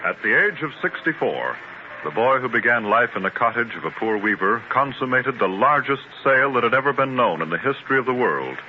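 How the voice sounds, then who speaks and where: A man's voice: medium pitch, sing-song, fairly quick. A man narrating a scripted 1930s radio drama in a formal, grandiose manner. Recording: muffled 1930s radio transcription, faint noise.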